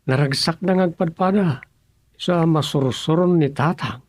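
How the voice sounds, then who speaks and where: An actor playing a sly, gossipy village neighbour in an Ilocano radio comedy drama, with a raspy, gravelly voice. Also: mid-range voice, measured pace, a little mellow, no room sound, natural voice.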